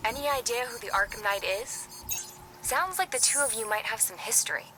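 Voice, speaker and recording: A woman's voice: fairly high pitch, brisk, lightly acted. Woman as a focused hacker ally, heavy noise. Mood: helpful, wry. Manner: matter-of-fact, focused. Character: capable young female hacker ally, brisk and wry. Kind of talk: video game cutscene acting.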